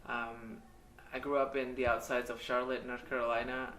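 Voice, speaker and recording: A man in his thirties from Mexico, telling his life story in a candid, hesitant way with many pauses and ums. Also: medium pitch, little pitch movement, pushed and bright, slight background noise. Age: 30s. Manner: hesitant, halting, with frequent ums and uhs. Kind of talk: candid personal testimonial. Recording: echoey room, mic at a distance.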